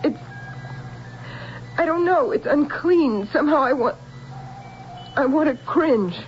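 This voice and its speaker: An anxious, emotional wife in a radio play. Her high female voice moves slowly and haltingly, full of earnest feeling. The sound is a dulled old broadcast. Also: expressive, muffled recording, a little hiss or hum. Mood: uneasy, fearful. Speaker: actress in a radio drama. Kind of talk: 1970s radio drama acting.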